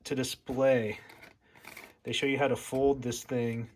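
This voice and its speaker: A man's voice: mid-range voice, level tone, measured pace. An American man giving a casual, hands-on demonstration as a hobbyist, recorded at home with a furnace running. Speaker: hobbyist presenter of a radio overview. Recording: loud furnace noise behind.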